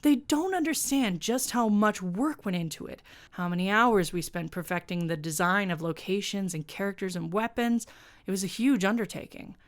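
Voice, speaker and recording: A woman's voice: medium pitch, moderate pace, lightly acted. A woman voicing an aggrieved, nostalgic older male film director in a story, grumbling and justifying himself.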